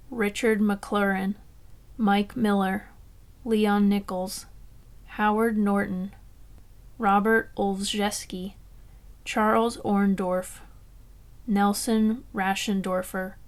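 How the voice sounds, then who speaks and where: A woman formally reading out a list of names for a memorial roll call. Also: mid-range voice.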